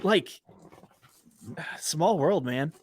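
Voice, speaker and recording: A man's voice: fairly high pitch, animated, extremely slow, slightly rough. A man hosting a podcast in a casual, chatty interview style. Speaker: podcast host.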